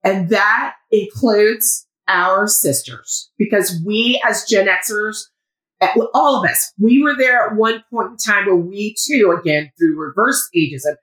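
A woman in her fifties, a guest in an interview, talking loudly and with enthusiasm. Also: mid-range voice, slow pace, echoey room, rough recording. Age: 50s. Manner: loud, emphatic, enthusiastic. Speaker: guest, founder of a women's community. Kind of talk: conversational interview.

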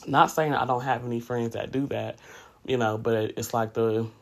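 A man's voice: mid-range voice, steady tone, normal speed, vocal fry. A man in his late thirties hosting a podcast, talking candidly in a casual, reflective monologue with conversational asides. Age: late 30s. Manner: candid, conversational, often says "you know". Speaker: podcast host.

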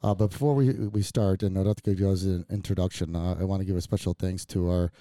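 A man's voice: low voice, fairly even intonation, fairly quick, dark tone, no room sound, studio quality. A man hosting a casual podcast chat, joking around and rambling with lots of fillers and restarts. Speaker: podcast host. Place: studio.

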